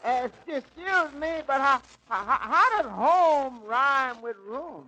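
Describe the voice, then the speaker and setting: An actor playing a mild, hesitant tavern employee with dry remarks in a 1940s radio comedy. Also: male voice, very high-pitched, lively intonation, slow pace, exaggerated voice. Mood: dry, puzzled. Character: mild, doubtful male tavern employee who deflates the boss. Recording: noisy, muffled 1940s radio broadcast.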